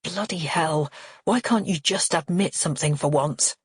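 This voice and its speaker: An exasperated, defensive man, voiced by a woman narrator in an audiobook thriller. He protests earnestly and urgently in a husky voice, close and dry. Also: deep voice, normal speed, no room sound. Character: exasperated, shocked, defensive son of a criminal, pleading innocence.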